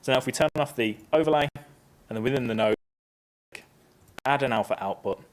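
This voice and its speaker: A man presenting a casual, upbeat software tutorial on a conference stage, stepping through each click. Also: mid-range voice, fairly quick. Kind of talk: live software tutorial talk.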